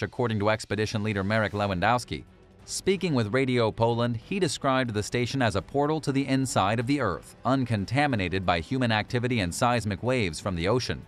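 Man narrating over background music. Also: lowish voice. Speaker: narrator of a list video. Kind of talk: scripted documentary-style narration.

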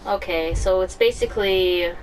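A woman's voice: lowish voice, normal speed, faint music behind. A woman streaming a game playthrough with casual commentary, hesitant and trailing off as she reads aloud. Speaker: gaming streamer. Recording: distant mic, faint background game ambience.

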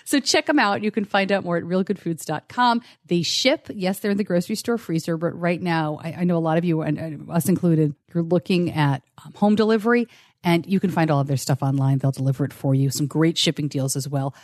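Woman podcast host, upbeat, laughs a lot, kinda low voice, dry close mic. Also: a little fast, no room sound. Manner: upbeat, laughs often, animated pitch swings. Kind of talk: podcast ad read and interview.

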